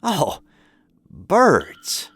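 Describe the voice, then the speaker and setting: An actor playing a bewildered, polite American captive in a comic radio sitcom. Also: male voice, a little high, wildly swooping pitch, very slow, fairly bright tone, slight background noise, heavily acted. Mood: puzzled. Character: bewildered, polite American man chained in a dungeon. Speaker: narrator star of the show.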